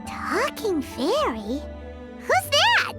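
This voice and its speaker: A perky little fairy companion from a video game, with a very high squeaky voice that swoops all over. The delivery is cutesy and unhurried, with music playing behind. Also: female voice, huge pitch swings, full character voice. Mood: puzzled, curious. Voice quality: squeaky, childlike. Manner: cutesy, exclamatory, speaks of self in third person. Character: tiny talking fairy girl companion, perky, childlike, curious.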